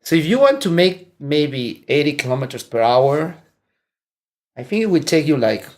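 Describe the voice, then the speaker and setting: A man's voice: on the high side, big pitch swings, moderate pace. A man in his forties from Mexico, with a Mexican Spanish accent, chatting as an interview guest, laughing often and restarting his sentences. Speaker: interview guest, martial arts instructor. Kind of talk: casual interview chat. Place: indoor room, seated.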